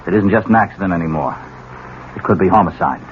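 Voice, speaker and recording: An actor playing a wary, principled police detective, insistent and plain-spoken, in a 1970s radio drama. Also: male voice, deep voice, fast talker, natural voice. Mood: cautioning. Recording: tinny, noisy old radio broadcast.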